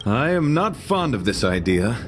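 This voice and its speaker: Game knight, male actor: courtly and solemn, florid phrasing, very animated intonation, music underneath. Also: medium pitch, normal speed, lightly acted. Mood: measured, formal. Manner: formal, grandiose, florid diction. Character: gallant, theatrical, solemn knight. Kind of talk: video game voice acting.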